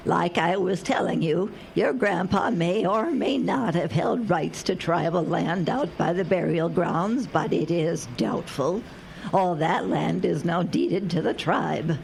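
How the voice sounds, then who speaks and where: A woman's voice: lowish voice, slow pace, gravelly, darkish tone, a little hiss or hum, low-quality recording. A woman acting in a radio drama as a kindly, knowledgeable older local who explains things in a folksy, matter-of-fact way.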